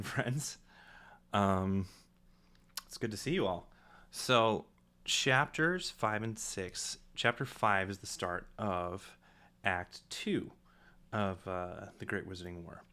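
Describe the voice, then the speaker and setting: A man's voice: deep voice, sharp tone. A man hosting a casual livestream, giving director's commentary in an informal, chatty style. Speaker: livestream host and drama director.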